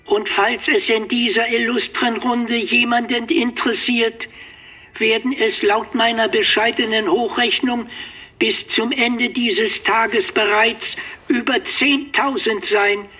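A fussy robot sidekick with a very high, piercing voice that crawls out word by word. Its precise, dryly sarcastic lines ring sharp and bright, like a pedantic machine in a radio play. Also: male voice, slightly rough, full character voice. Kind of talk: German radio play acting.

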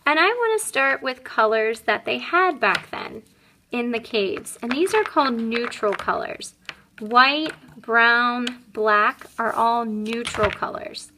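A woman's voice: fairly high pitch, slow pace, pushed and bright, slight background noise. A woman, an elementary art teacher, demonstrating a craft step by step in a simple, upbeat way for young children.

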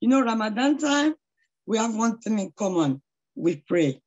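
A middle-aged woman with a hoarse voice, introducing a speaker on a video call with effusive praise and frequent prayers. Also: a little high, big pitch swings, moderate pace, darkish tone, lo-fi sound. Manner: devout, effusive, praying often. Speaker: charity founder introducing a speaker. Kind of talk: online lecture introduction. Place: small room at home. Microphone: laptop webcam on a video call.

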